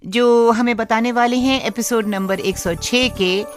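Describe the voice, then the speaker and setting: A woman with an Indian accent presenting a scripted radio show, addressing listeners in a deliberate way as friends. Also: fairly high pitch, steady tone, music in the background. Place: studio.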